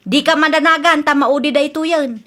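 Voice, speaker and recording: High, bright, brassy Ilocano housewife. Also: female voice, fairly even intonation, fairly quick. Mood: breezy. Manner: sassy, argumentative. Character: brash, defiant housewife who borrows without asking. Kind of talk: Filipino radio comedy drama acting.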